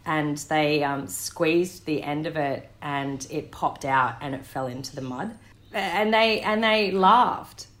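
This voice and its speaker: An Australian woman in her thirties, an interview guest telling family stories in a thoughtful way, pausing with ums and restarting her sentences. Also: sits low. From Western Sydney, Australia. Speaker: interview guest, director and actor. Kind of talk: reflective conversational storytelling.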